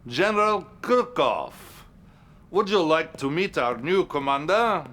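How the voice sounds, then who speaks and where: A grandiose Russian-accented Soviet leader, animated. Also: male voice, fairly high pitch. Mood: firm. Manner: theatrical, pompous, deliberate. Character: grandiose, scheming Soviet leader addressing his commander. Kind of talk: video game cutscene acting.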